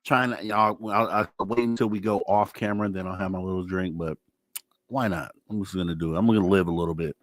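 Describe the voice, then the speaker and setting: A man's voice: low-pitched, steady tone, quick pace, dry recording. A man hosting a live radio talk show in a casual, informal, effusive way.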